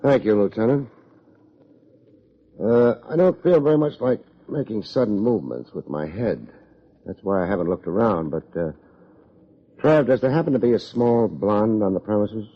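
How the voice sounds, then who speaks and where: An American actor playing a wry, self-deprecating private investigator in an old-time radio drama, with a deadpan, wisecracking delivery. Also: male voice, lowish voice, normal speed. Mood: dry, matter-of-fact. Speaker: lead actor, narrator. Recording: muffled old radio recording.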